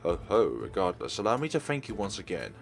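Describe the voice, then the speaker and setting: A man with a lowish voice reads a visual novel's text aloud as a let's play host, rising and falling a lot in pitch. He breaks off now and then for casual asides, with soft game music playing behind him. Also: wildly swooping pitch, fairly quick, creaky. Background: faint game music.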